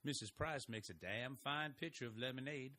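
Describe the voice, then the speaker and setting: A man's voice: medium pitch, moderate pace, bright, forceful tone. A man playing a wry, easygoing small-town husband. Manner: dry, teasing, easygoing. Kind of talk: audio drama acting.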